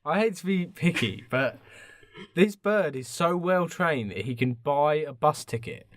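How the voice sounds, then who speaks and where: A man chatting as a podcast co-host. His pitch swings about a lot as he questions and nitpicks in loose, comic banter. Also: expressive, a little slow, a little hiss or hum.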